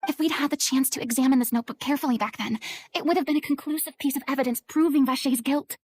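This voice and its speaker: Actress as high-voiced, theatrical young woman. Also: fairly even intonation, fast talker, darkish tone, no echo, close to an everyday voice. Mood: intent. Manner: articulate, theatrical, emphatic. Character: theatrical young woman, poised, commanding and compassionate. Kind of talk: anime game voice acting.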